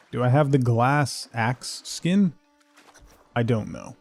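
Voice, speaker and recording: A man hosting a game livestream, rambling casually and haltingly as he thinks aloud and replies to viewers. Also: medium pitch, creaky, a little mellow, husky. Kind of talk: casual chat while playing, answering viewers.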